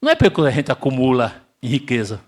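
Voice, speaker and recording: An older man from Brazil giving an emphatic spiritist lecture, asking rhetorical questions and swinging between loud and hushed delivery. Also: medium pitch, huge pitch swings, fast. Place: spiritist center hall, live audience.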